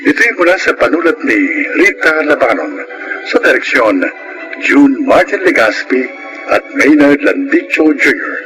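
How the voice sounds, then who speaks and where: Filipino male drama narrator over loud music. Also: a little high, sing-song, unhurried, rough recording. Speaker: drama anthology announcer and narrator. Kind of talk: formal radio drama narration. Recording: radio broadcast with loud music behind.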